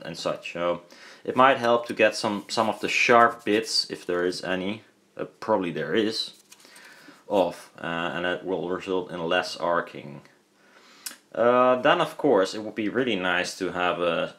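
A man's voice: deep voice, fairly bright tone. A man giving a casual, unscripted demonstration, rambling a little and hesitantly thinking aloud as he goes. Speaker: hobbyist speaker builder and video maker.

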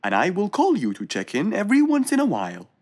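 A woman voicing a kind, eager young male fox inventor in an animated children's story, with a helpful, polite manner. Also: medium pitch, fast talker, slightly rough. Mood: friendly, helpful.

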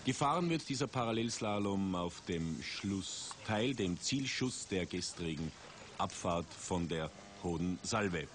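A man commentating a ski race for TV, measured and rising in excitement at big moments. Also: low voice. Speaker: sports commentator. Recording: 1980s TV broadcast with outdoor noise.

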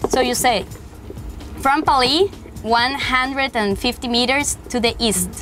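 A young woman from Costa Rica whose first language is Spanish chats casually, full of fillers. Her voice is a little high. She was recorded outdoors on a sailboat deck, with faint music in the background. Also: unhurried, fairly bright tone. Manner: chatty, uses many fillers. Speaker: interviewee. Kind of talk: casual chat.

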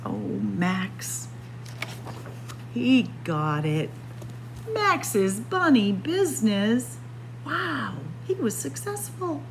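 A woman reading a picture book aloud to children as a storyteller, expressive and deliberate. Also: a little high, a little hiss or hum. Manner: expressive, deliberate, addressing young listeners as friends. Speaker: librarian storyteller. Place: indoor library room with bookshelves.

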